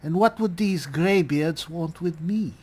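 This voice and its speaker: A man playing a skeptical high elf spellsword, musing and sardonic in a roleplayed video game. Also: measured pace, darkish tone, husky. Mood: skeptical, hesitant. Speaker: player roleplaying his game character.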